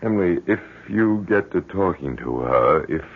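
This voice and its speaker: A low, gravelly middle-aged father in an old radio play, fretting and pressing his point. He halts and repeats words, his pitch swinging about, on a thin, phone-like recording. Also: lively intonation. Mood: concerned, hesitant. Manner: halting, repeats and stumbles over words. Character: worried, fretful middle-aged father, insistent and blunt. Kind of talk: 1970s radio drama acting. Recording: thin, band-limited 1970s radio recording.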